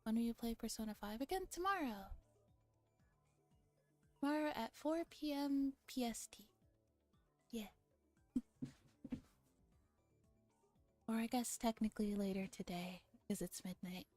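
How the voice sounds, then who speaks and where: A woman streamer reading a visual novel aloud in a soft, relaxed ASMR style, sometimes slipping into a whisper, with casual chat. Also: on the high side, measured pace, long pauses, no room sound. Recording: music playing behind the voice. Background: music.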